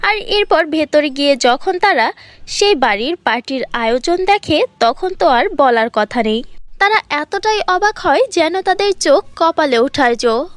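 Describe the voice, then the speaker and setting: Woman narrator, high voice, dry close recording, storybook telling. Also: expressive, measured pace, dry recording.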